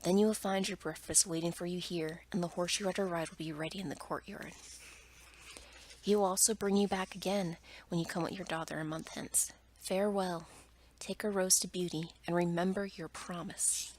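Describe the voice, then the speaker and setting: A woman voicing a stern, angry male beast from a storybook in a breathy, whispered, commanding and formal manner. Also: fairly low pitch, steady tone, moderate pace, darkish tone, a little hiss or hum, lightly acted, low-quality recording.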